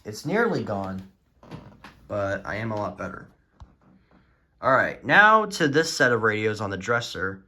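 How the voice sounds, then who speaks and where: A man talking casually in a halting, rambling way as he presents his collection in a vlog. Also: fairly low pitch, sing-song, pushed and bright. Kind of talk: casual show-and-tell vlog.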